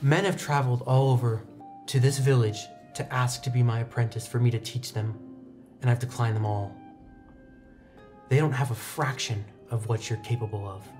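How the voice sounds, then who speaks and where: A man voicing a stern female master blacksmith in a tabletop game, measured and reproachful. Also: mid-range voice, steady tone, over music, lightly acted. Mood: stern, taunting.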